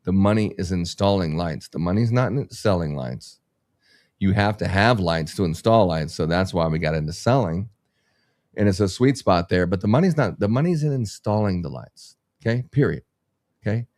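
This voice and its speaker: Blunt, low-voiced business owner. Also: male voice, lively intonation, normal speed, a little mellow, no echo, clean studio sound. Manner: blunt, profane, rambling, motivational. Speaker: business owner and podcast host. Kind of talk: casual podcast chat.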